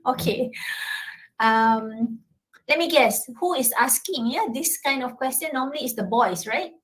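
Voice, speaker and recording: Woman, Malaysian English accent, lecturer, fairly high voice, answers questions, keeps adding "yeah?" and "all right?". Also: expressive, unhurried, rough recording. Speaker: university lecturer.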